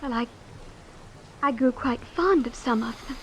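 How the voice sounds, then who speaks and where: Actress as high-pitched, girlish spoiled heiress. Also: measured pace, lightly acted. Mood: airy, dreamy. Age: young adult. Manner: girlish, pouty, airy. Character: naive, spoiled young heiress, flighty, chillingly blithe. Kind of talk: old-time radio drama acting. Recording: noisy vintage radio broadcast recording.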